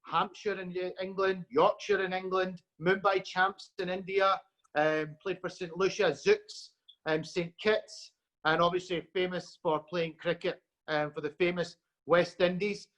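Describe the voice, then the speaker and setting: A man from Scotland hosting an interview over a call, friendly and unhurried, with many fillers. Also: high-pitched, flat delivery, fairly bright tone, low-quality recording. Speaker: host.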